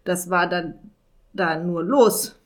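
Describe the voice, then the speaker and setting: A woman voicing a gloomy, complaining male raven with a croaking, rasping voice in a storybook reading. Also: on the low side, slow. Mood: flustered. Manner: whining, long-winded complaining.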